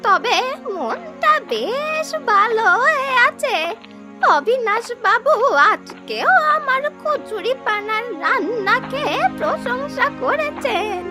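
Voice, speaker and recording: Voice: extremely high pitch, sing-song, slow, music in the background, full character voice. An actor playing a humble, anxious old village woman in a cartoon, plaintive and protesting. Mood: anxious, musing. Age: older. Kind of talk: cartoon voice acting.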